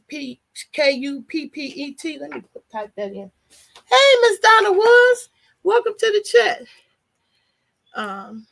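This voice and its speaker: Woman vlogger, hoarse and nasal, gravelly and slightly high; rambling, frank chat to her viewers. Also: a bit bright. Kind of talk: casual chat to a live audience.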